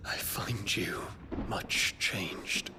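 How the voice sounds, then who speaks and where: A man's voice: lowish voice, drawn-out words, noisy background. A British man playing a dutiful, troubled young warrior prince in a fantasy video game, formal and restrained in his wording. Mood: troubled, pleading.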